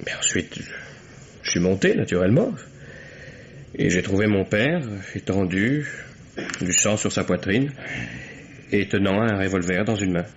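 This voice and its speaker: A low-pitched, guarded, formal son. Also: male voice, animated, measured pace, pauses often. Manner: formal, hesitant, curt. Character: reserved, guarded, bitter bourgeois son under questioning. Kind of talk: old French radio mystery acting. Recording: dull, noisy old radio drama recording.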